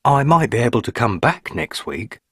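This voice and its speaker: A man's voice: medium pitch, sing-song, normal speed, husky, dry recording, studio quality, pitched above the performer's own voice. A man voicing an elderly, stubborn, superstitious rustic housekeeper woman who speaks in country dialect. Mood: ominous. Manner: rustic dialect grammar, hushed ominous.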